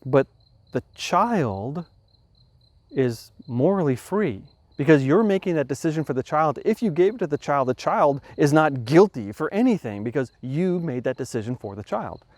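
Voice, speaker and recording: A man hosting a podcast, talking through a moral question in a thoughtful, measured way, restarting phrases as he reasons aloud. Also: fairly high pitch, big pitch swings, darkish tone, dry recording. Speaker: podcast host. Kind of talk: explanatory talk on ethics.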